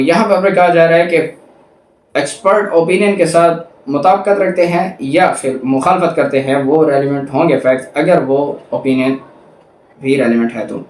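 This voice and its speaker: Echoey Pakistani male law lecturer, higher-pitched. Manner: didactic, repeats and rephrases points. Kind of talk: explanatory lecture, reading statutes aloud and explaining them. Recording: echoey room.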